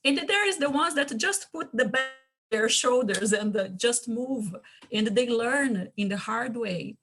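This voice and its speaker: A woman's voice: on the high side, expressive, a little slow, a bit bright, soft background music. A woman from Brazil with a Brazilian Portuguese accent, speaking earnestly on an online panel and often restarting to search for words.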